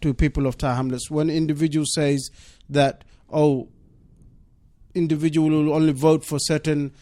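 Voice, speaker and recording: A man's voice: a little high, little pitch movement, normal speed, dark tone, dry recording. A man whose first language is Bengali, a deputy mayor answering interview questions earnestly in a radio studio and leaning on "obviously". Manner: earnest, leans on "obviously", insistent.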